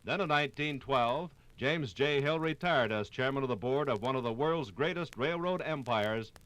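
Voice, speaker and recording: A man's voice: mid-range voice, normal speed, a bit bright, low-quality recording. An American man narrating a 1950s radio documentary from a script in a formal, emphatic, oratorical manner. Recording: 1950s radio program on vinyl. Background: music.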